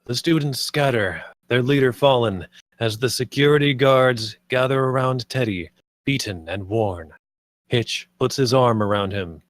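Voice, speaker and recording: A man's voice: medium pitch, unhurried, no room sound. A man reading stage directions aloud as scripted screenplay narration.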